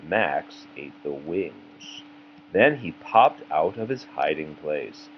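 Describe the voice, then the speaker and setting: A man reads a picture book to a child at a slow, unhurried pace. His intonation swings widely while his manner stays gentle and patient. The recording is slightly lo-fi. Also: rumbling low, sing-song, a little hiss or hum. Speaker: read-aloud storyteller for a child. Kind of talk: children's picture book narration with casual asides.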